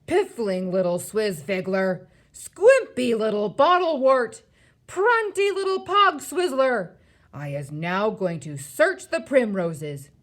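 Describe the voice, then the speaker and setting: A gruesome, man-eating giant from a children's storybook, acted out by a woman reader. He booms and bellows like a bully, hurling nonsense insults in mangled grammar. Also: sing-song, slow pace. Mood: furious, insulting. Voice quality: booming, thunderous shouting.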